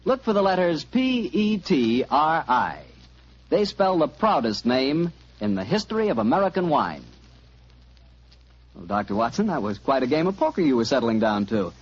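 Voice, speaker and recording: A man announcing on 1940s radio as host, delivering a polished sales pitch. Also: sing-song, moderate pace, slightly rough. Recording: dull 1940s radio broadcast recording.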